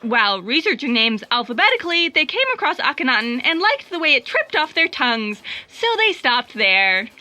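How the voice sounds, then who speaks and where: An eager little fact checker in a sci-fi audio comedy. The voice is high and intensely bright, with a flustered, explanatory delivery. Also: female voice, big pitch swings, normal speed, hardly any pauses, full character voice. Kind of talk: comic scripted audio drama narration.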